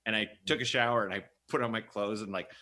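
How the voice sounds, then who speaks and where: A man's voice: fairly low pitch, steady tone, quick pace, sharp tone. A man hosting a comedy podcast, chatting casually and telling stories in a rambling, repetitive way. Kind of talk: casual comic banter and storytelling.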